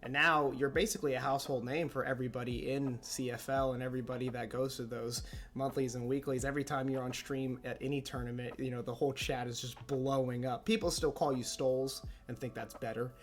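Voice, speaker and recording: A man's voice: mid-range voice, fairly even intonation, brisk, soft background music. A man interviewing a player for an esports team, upbeat and rambling, often saying how awesome things are. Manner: upbeat, repeats "that's awesome", rambling questions. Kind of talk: casual interview.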